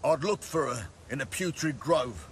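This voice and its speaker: An actor playing a shifty, uneasy street looter and informant in a fantasy video game. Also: male voice, medium pitch, expressive, normal speed, slight background noise, natural voice. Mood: wary, informative.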